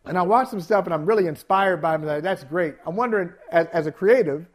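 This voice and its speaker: A man's voice: sits high, fast talker. A man co-hosting an interview, chatty and asking long, winding questions. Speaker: co-host. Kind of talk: casual interview talk.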